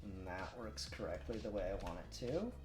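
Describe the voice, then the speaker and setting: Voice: low voice, lively intonation, normal speed. A livestreamer chatting casually and muttering to themselves while working, with the odd swear word. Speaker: livestreamer doing 3D modelling. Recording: roomy echo with music behind.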